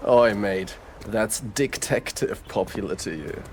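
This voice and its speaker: A man's voice: fairly low pitch, takes it slowly, slight background noise. An actor playing a cocky, teasing male detective in an audio roleplay drama, with sardonic, theatrical banter. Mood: cocky, playful. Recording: music behind the voice.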